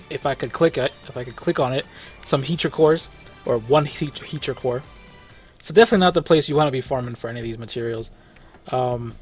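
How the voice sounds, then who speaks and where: Relaxed male gaming host, mid-range voice, chatty and meandering, faint background music. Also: mid-range voice. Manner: rambling, relaxed, frequent fillers and self-corrections, chuckles. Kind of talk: casual gameplay commentary.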